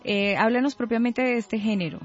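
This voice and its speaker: Higher-voiced woman co-hosting radio. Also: a little fast, muffled recording, faint music behind. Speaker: radio co-host. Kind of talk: radio interview.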